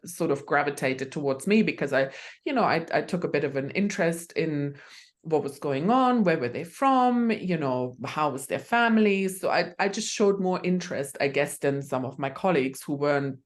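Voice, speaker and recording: A woman's voice: deep voice, normal speed. A woman from Germany, a guest on a podcast, explaining her work in a conversational, hesitant way with many fillers and restarts. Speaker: guest expert.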